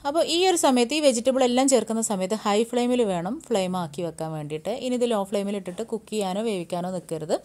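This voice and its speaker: A woman hosting a home cooking channel, giving brisk step-by-step recipe instructions in a voice-over. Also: mid-range voice, a little mellow. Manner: brisk, step-by-step instructing, Malayalam mixed with English words.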